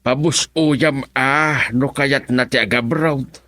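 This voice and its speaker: Admonishing Ilocano elder, dry sound. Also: male voice, medium pitch, steady tone, slow pace, pushed and bright, dry recording. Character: elderly father, reasoning and persuading his grown son. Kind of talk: radio drama acting.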